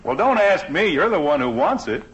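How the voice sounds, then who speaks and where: A man's voice: high-pitched, big pitch swings, normal speed, a bit bright. A man playing a grumpy, sarcastic middle-aged father in a 1950s radio sitcom, delivering exasperated wisecracks dryly. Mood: dry exasperation. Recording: dull vintage radio recording, faint noise.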